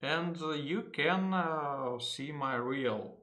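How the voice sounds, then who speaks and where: Slow, halting man with a Russian accent. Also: a little high, bright, forceful tone. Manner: halting, frequent uh fillers, self-corrections. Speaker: engineering software tutor. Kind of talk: unedited screen-recorded tutorial.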